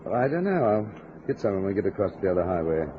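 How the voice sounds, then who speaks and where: A man's voice: sits low, big pitch swings, racing. An actor playing a brusque, impatient husband trying to reassure his frightened wife in an old-time radio drama. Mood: reassuring, impatient. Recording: noisy, muffled vintage radio drama recording.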